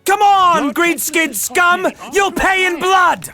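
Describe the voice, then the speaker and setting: A man's voice: very high-pitched, slow, raised voice, full character voice. A man playing a blunt, stubborn commander of fighting men in a fantasy video game. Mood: furious. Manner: blunt, firm. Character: gruff, stubborn male Imperial militia master. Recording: music behind the voice.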